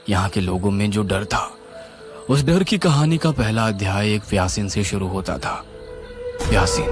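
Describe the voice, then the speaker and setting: A man narrating a scripted horror story in a suspenseful, theatrical way. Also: fairly low pitch. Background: music.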